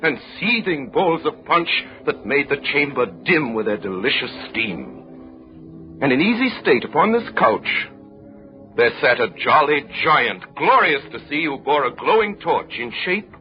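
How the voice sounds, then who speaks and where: A man narrating a story in a dramatic, measured 1930s radio drama style. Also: fairly high pitch, very animated, soft background music. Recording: muffled 1930s radio broadcast.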